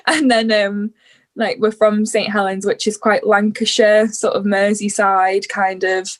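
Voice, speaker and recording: A woman's voice: mid-range voice, level tone, a little slow. Young northern English woman, casual guest, easy laugh, trailing delivery. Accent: northwest English, between Liverpool and Manchester. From northwest England. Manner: chatty, laughs often, trails off softly. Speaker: guest musician. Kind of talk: casual conversation.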